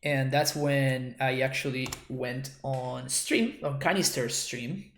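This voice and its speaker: A man's voice: medium pitch, slow pace, fairly bright tone, reverberant, slight background noise. A man from Argentina, a native Spanish speaker with a Spanish accent, casually commenting on his own play as a streamer, thinking aloud with wry, self-deprecating remarks and frequent restarts. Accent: Spanish-accented English.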